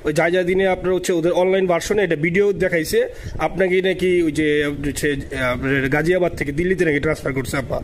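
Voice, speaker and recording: A man's voice: fairly high pitch, steady tone, quick pace. A man with a Bangladeshi accent in an informal phone conversation, deferential, eager and repetitive in the way he talks. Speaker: party supporter calling in. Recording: phone call with faint background noise.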